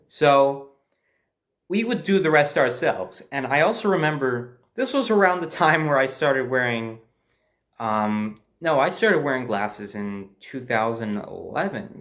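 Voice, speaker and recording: Male storyteller, mid-pitched voice, casual and unscripted, hesitant, backtracks to correct himself. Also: a bit bright.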